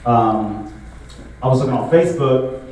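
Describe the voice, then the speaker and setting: A young man performing a stand-up comedy set in a relaxed, conversational way, full of filler words. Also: medium pitch, unhurried. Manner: laid-back, conversational, frequent filler words. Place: comedy show venue with a live audience. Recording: echoey room, distant mic, audible noise.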